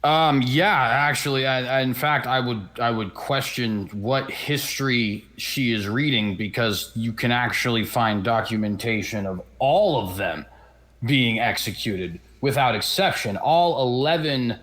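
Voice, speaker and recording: A man's voice: mid-range voice, unhurried, fairly bright tone. A man answering a debate question earnestly, often repeating his first words.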